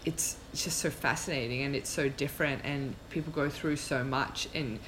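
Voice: medium pitch, fairly even intonation, moderate pace, fairly bright tone, slight background noise, low-quality recording. Casual, reflective podcast host. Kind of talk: casual conversational podcast talk.

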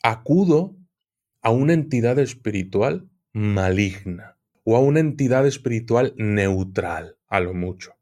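A man, a guest on a podcast, explaining at length in a measured, hesitant way. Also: lowish voice, lively intonation, darkish tone. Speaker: guest occult expert. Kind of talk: podcast conversation.

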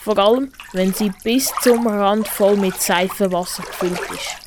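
A woman's voice: medium pitch, unhurried, background noise. A teenage girl with a Swiss German accent reading scripted narration for a school radio play.

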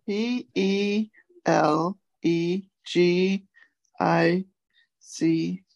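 A woman hosts a relaxed word quiz with a fairly low voice. She takes her time, spelling letter by letter and chatting casually between words. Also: very slow, creaky, highs cut off. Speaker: quiz host giving obscure words. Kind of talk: casual word game chat.

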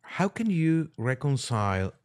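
A man's voice: mid-range voice, very animated, unhurried, clean tone. A man, a priest appearing as a podcast guest, talking conversationally about religion and earnestly restating his points.

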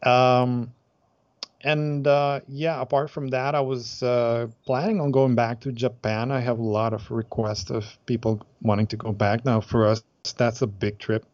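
A man's voice: mid-range voice, level tone, measured pace, a little mellow, dry recording, lo-fi sound. A man from Aruba who organizes group trips, chatting in an interview with many hesitant fillers and restarts. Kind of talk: casual interview chat.

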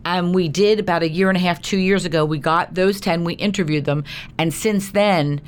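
A woman with a slightly low voice talks as a guest in an informal interview. She is an animated storyteller who likes to act out what people said. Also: normal speed, a little hiss or hum. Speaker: interview guest, film producer.

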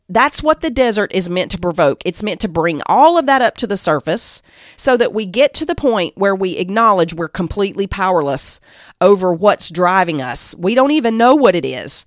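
A woman teaches in a devotional style with a medium-pitched voice. She exhorts the listener emphatically and repeats phrases for weight. The recording is dry, with very little room sound. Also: no room sound. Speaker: Bible teacher and podcast host.

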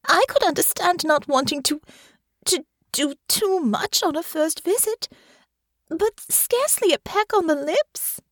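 A woman voicing an anxious, wounded young noblewoman, high and plaintive. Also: lively intonation, measured pace, no room sound, studio quality. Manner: plaintive, rising, tearful pleading.

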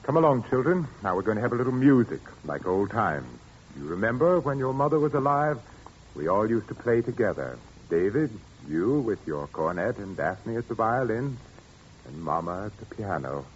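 Muffled, noisy vintage radio: genteel but menacing father, overwrought, commanding, highly animated. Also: moderate pace. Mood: genteel, insistent. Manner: theatrical, commanding. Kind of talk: 1940s radio suspense acting.

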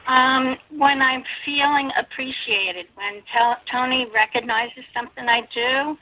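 A woman hosting an interview, talking in an earnest, personal and slightly halting way. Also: high-pitched, fairly even intonation. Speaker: interview host. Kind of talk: casual interview chat. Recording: dry, dull lo-fi recording.

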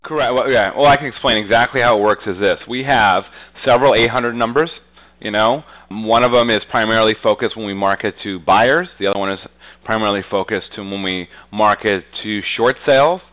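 Low-voiced man, blunt interviewee. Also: brisk, a bit bright, poor audio. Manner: frequently punctuates talk with "you know". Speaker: real estate agent being interviewed. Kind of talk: conversational business interview.

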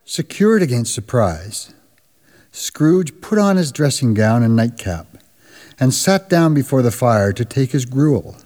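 A man narrating a radio drama as a storyteller, in a measured, scripted, dramatic style. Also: mid-range voice, very animated. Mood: measured, suspenseful. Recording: loud music behind the voice.

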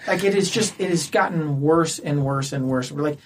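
A man's voice: fairly high pitch, moderate pace. A man in casual podcast chat as a studio guest, griping bluntly and swearing casually.

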